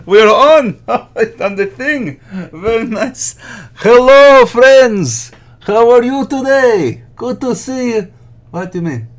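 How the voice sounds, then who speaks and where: Man putting on a cheerful Azorean Portuguese immigrant, Portuguese accent, broken English, bumbling, sidetracked, laughs a lot, slightly high voice. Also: animated, slow, full character voice. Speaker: podcast guest. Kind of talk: comedy character monologue.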